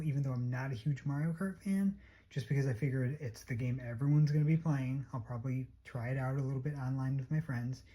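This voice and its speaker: A hoarse-voiced vlogger talking casually and a bit hesitantly through a product unboxing. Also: medium pitch, dark tone. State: hoarse, losing voice. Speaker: unboxing video host.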